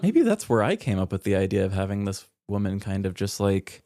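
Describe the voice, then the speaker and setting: Low-voiced man chatting casually on a podcast. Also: sing-song, fairly quick, mellow, no echo, studio quality. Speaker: podcast co-host and story writer. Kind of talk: casual, candid podcast chat.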